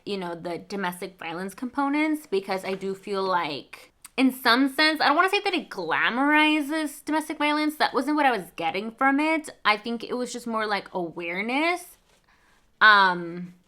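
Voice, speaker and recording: A young woman from Mexico, with Spanish as her first language, chats casually through a book review. She rambles with plenty of fillers and side remarks. Her voice sounds bright and sits at a middle pitch. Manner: chatty, rambling, lots of fillers and asides. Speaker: book vlogger.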